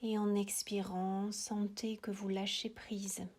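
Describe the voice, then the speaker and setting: A woman with a medium-pitched voice guides a deep relaxation session. Her delivery is very slow and hushed, in short measured phrases with pauses between them. The ends of her phrases often soften into a breathy near-whisper. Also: level tone. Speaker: yoga nidra relaxation guide.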